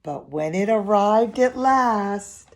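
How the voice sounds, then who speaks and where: A woman's voice: medium pitch, lively intonation, slow pace. A woman reading a picture book aloud expressively to young children, with simple asides and questions to her listeners.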